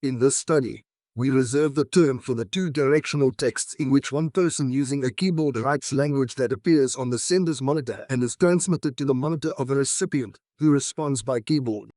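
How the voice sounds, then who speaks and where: Male reader for exam practice passages, mid-pitched, scripted read-aloud, close and dry with no room sound. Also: fairly quick, mellow, no room sound.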